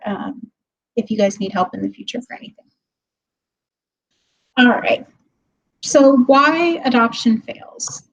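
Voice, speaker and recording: A woman's voice: a little high, low-quality recording. A woman speaking as guest on an online tech meetup, friendly and chatty, laughing easily and using plenty of ums. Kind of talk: webinar presentation. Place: office hallway.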